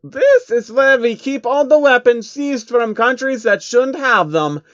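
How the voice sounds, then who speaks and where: A man's voice: extremely high pitch, very animated, a little slow, a bit bright, dry recording, dull audio, voice pushed up, exaggerated voice. A man voicing a smug, fussy, pompous rich baron in a storybook reading. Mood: matter-of-fact. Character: smug scheming rich male banker baron, fussy and haughty.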